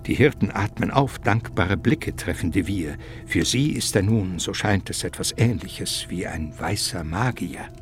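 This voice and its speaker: A man narrating a radio play in an expressive, theatrical style, with suspense and irony. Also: sits low, a little slow, few pauses, faint music behind.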